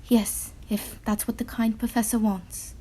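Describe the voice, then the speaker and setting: Young woman as slow, sweet, trusting lady. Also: on the high side, level tone. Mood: drowsy, grateful. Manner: soft, slow, grateful. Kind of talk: stage-style radio drama acting.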